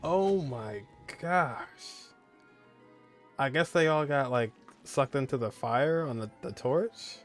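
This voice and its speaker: A man's voice: medium pitch, expressive, slow. A man speaking as a gaming streamer, giving casual, slangy commentary while he plays. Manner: informal, slangy. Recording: game music behind the voice. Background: video game music.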